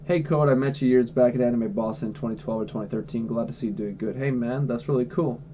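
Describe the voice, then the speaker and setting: A man's voice: mid-range voice, fast, warm tone, muffled recording, a little hiss or hum. A man livestreaming from home, answering chat questions in a blunt, offhand way and swearing freely. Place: at home indoors.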